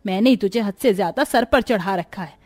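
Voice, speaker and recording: A woman's voice: mid-range voice, fairly quick, a little mellow, no room sound, voice pushed down, lightly acted. A woman voicing a greedy middle-aged mother-in-law in a moral cartoon story, with a melodramatic delivery. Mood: regretful.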